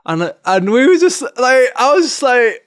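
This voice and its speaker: A man's voice: very high-pitched, big pitch swings, moderate pace, clear, resonant tone. Candid man. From England. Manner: loose and candid, swears freely, peppers talk with "you know" and "like". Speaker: podcast guest. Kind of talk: casual conversational storytelling.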